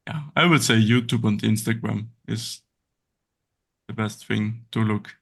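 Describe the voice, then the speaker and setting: Austrian man in halting English. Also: fairly low pitch, steady tone, gravelly, fairly bright tone. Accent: Austrian German. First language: German. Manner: halting English, searching for words, frequent restarts. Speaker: guest. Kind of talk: casual interview chat.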